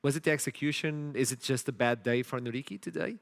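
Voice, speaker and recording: This is a man hosting a live esports analysis desk. He speaks at an ordinary mid pitch with lively energy. He tends to repeat a word or two when he launches into a question. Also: fast talker, vocal fry. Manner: lively, repeats words when starting sentences. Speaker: esports broadcast host.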